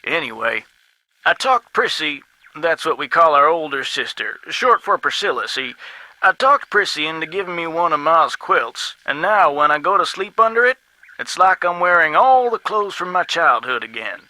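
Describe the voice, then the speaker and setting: A man's voice: fairly high pitch, sing-song, normal speed, bright, forceful tone, dry recording, exaggerated voice, poor audio. An actor playing a folksy, long-winded man with a Southern US accent, rambling in a game. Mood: folksy reminiscing. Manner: garrulous, drawling folksy storytelling. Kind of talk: game voice acting.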